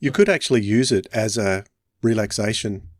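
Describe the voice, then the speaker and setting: A man's voice: fairly low pitch, normal speed, dry recording. A man hosting a casual podcast discussion in a conversational way on a close microphone. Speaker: podcast host.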